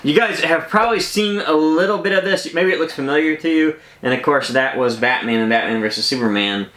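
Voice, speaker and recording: A man's voice: a little high, a little fast, a bit bright. A man hosting a casual unboxing, talking to viewers in an enthusiastic, conversational way. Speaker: collectibles unboxing host. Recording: echoey room.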